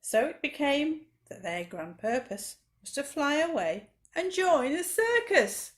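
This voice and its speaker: A woman's voice: high-pitched, very animated, measured pace. A woman reading a rhyming children's story expressively, with dramatic emphasis, as a library storyteller.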